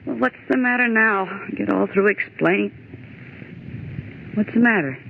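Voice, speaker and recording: A woman's voice: medium pitch, unhurried, vocal fry. An actress playing a bossy, skeptical but rattled young woman office worker in 1940s radio horror style, scolding and theatrical. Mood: exasperated scolding. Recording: old radio recording, narrow tinny band, hiss.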